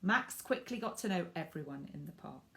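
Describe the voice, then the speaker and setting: A woman reading a picture book aloud to children in an expressive way, stressing words for drama and speaking directly to young listeners. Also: lowish voice, vocal fry, slight background noise. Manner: animated, dramatic emphasis with drawn-out words and hushed asides.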